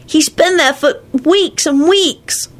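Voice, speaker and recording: A small boy wizard, whiny and gloomy, with a very high voice, played by a woman. He speaks slowly and drags out his complaints. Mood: gloomy, eager. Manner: whining, gloomy, pouty. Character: whiny six-year-old wizard boy.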